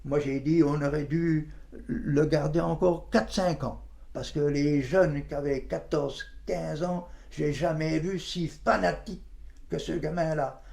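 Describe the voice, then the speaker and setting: A man in his nineties reminiscing in an interview, halting and colloquial, often repeating his phrases. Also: a little high, fairly even intonation, creaky, a little mellow. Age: 90s. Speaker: interviewee, war witness.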